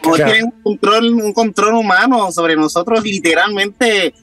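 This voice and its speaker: A man is heard somewhat away from the mic. His voice is fairly high for a man, and he speaks in casual podcast conversation. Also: normal speed, bright, forceful tone, soft background music. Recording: distant from the microphone.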